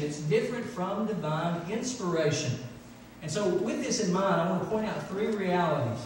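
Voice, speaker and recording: A middle-aged man preaching a sermon, emphatically repeating phrases for stress. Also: high voice. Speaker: pastor. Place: large church sanctuary, from the pulpit. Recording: echoey church hall, distant, faint noise.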